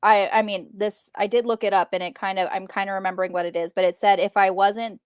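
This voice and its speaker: A woman's voice: mid-range voice, steady tone, quick pace, smooth, dry recording, clean studio sound. An American woman co-hosting a casual book chat, explaining conversationally with quoted asides. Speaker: book readalong co-host.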